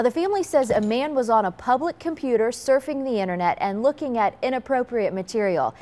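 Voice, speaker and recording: A woman reading scripted television news as a morning anchor. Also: on the high side.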